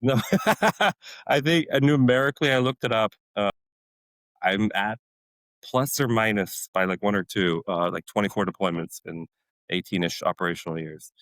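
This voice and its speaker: A man's voice: on the low side, moderate pace, a bit bright, no echo. A man in his forties whose first language is Polish, a podcast guest telling army stories casually and animatedly, laughing often and acting out other people. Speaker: podcast guest, retired Army warrant officer. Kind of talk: casual conversational storytelling.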